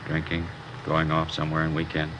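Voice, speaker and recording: An actor playing a fed-up working-class American welder in an old radio crime drama, blunt, slangy and resentful. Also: male voice, very deep voice, monotone, a little fast. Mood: bitter. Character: bitter, fed-up working-class welder estranged from his wife. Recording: noisy old radio recording, machinery hum.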